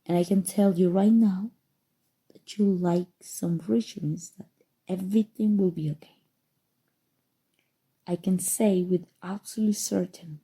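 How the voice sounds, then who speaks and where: Woman acting a breathy, mellow, possessive evil sorceress. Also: fairly low pitch, full character voice. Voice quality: breathy, soft, often dropping to whispers. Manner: slow, soothing, deliberate, sinister affection. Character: possessive evil sorceress, softly obsessive and tender. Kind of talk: ASMR roleplay, intimate scripted monologue.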